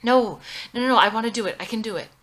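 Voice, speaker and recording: A woman's voice: on the high side, fast talker, a bit bright, pitched above the performer's own voice, low-quality recording. A woman reading the part of an anxious, disillusioned young photographer in a drama script, hesitant and emotional. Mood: flustered.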